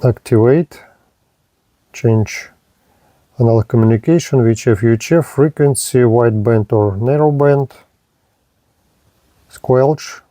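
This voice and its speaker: A man's voice: mid-range voice, slow, long pauses, warm tone, no room sound. A man narrating a hands-on radio equipment test as a reviewer, speaking in short clipped phrases. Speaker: product reviewer.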